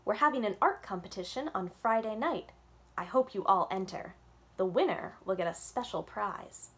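A woman voicing a cheerful art teacher in a children's storybook, speaking brightly and encouragingly. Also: mid-range voice, animated, moderate pace, lightly acted.

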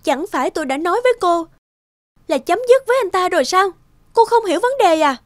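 High-voiced, distressed betrayed young mother. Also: lively intonation, a little fast. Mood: indignant. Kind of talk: cartoon drama acting. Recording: loud music behind the voice.

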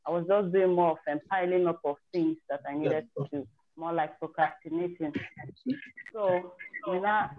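A woman's voice: fairly low pitch, moderate pace, slight background noise. A woman casually telling a group on a video call how she prepared for her exam, candid and chatty, often restarting her sentences. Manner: chatty and candid, with self-corrections. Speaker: participant sharing her exam success story. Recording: tinny, phone-like video call audio.

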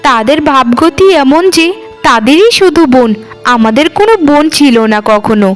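A woman's voice: high voice, animated, moderate pace, soft background music. A woman playing a fed-up, newly married youngest sister-in-law, complaining in scripted cartoon dialogue. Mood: exasperated. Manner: complaining, exasperated.

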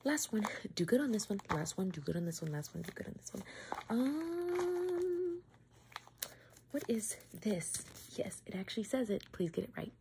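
Female, twenties, ASMR medic character, whisper mixed with soft creaky speech, close-up with clear background noise. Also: medium pitch, sing-song, normal speed. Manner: whispery, slipping between soft talk and whisper. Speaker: ASMR creator playing a doctor. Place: small room at home, indoors.

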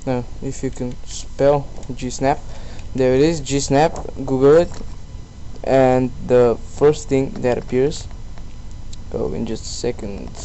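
A man presenting a casual screen-recorded software tutorial in a halting, self-deprecating way. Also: mid-range voice, rough recording. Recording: steady background noise on voice-over.

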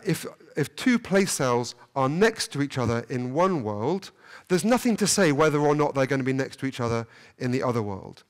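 A middle-aged man lectures at a scientific conference from a lectern in a hall, his voice sitting a little higher than most men's. He speaks in halting bursts, stopping and restarting phrases and leaning on fillers as he works through ideas out loud. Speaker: keynote speaker.

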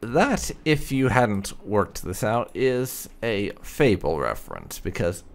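Male let's-play host: casual, sardonic, gravelly, unhurried, occasional swearing. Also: lowish voice, very animated. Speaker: gaming video host. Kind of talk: casual game commentary with light roleplay.

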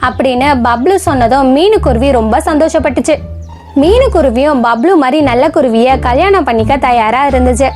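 A high-voiced woman reads a children's story as narrator. Her delivery is scripted storytelling, with light music in the background. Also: expressive, a little fast, a little mellow.

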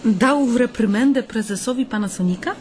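A woman's voice: fairly high pitch, brisk, a little mellow, lightly acted. Actress as an attentive female interviewer. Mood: interested, amused. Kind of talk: radio play acting, conversational interview.

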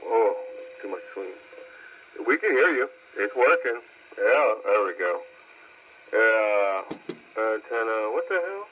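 A man's voice: on the low side, sing-song, slow, pauses often, fairly bright tone, low-quality recording. A man chatting casually over a CB radio, heard through its speaker, blunt and salty in his talk. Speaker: CB radio operator answering over the air. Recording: CB radio transmission with faint noise.

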